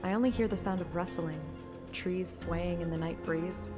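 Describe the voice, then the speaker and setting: A woman's voice: lowish voice, normal speed. A woman streamer with a casual, giggly, self-deprecating manner who swears and reads a game story aloud. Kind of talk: casual chat and dramatic story read-aloud. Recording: loud music behind. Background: music.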